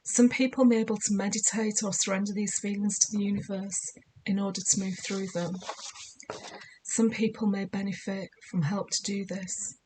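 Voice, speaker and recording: A woman with a slightly croaky voice giving spiritual guidance in a measured, soothing, deliberate way. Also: medium pitch, steady tone, mellow, a little hiss or hum, low-quality recording. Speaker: spiritual guide. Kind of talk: scripted spiritual guidance talk.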